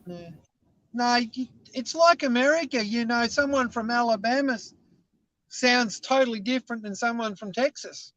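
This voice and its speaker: A man's voice: very high voice, normal speed, fairly bright tone, no room sound, poor audio. A man with Scottish family roots and a mixed British Isles accent, chatting casually as a guest and rambling in a self-deprecating way. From Scotland. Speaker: guest caller. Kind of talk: casual chat.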